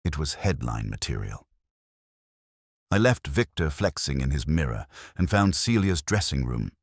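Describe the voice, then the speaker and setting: A man's voice: rumbling low, very animated, unhurried, no echo, clean studio sound. A man narrating a scripted first-person detective story in a wry, hard-boiled manner. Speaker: audiobook narrator.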